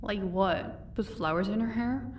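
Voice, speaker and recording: A woman's voice: medium pitch, unhurried, distant mic, slight background noise, lightly acted. A woman playing a proud, sardonic vampire captor in an audio roleplay, commanding and dryly sarcastic. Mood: dryly teasing.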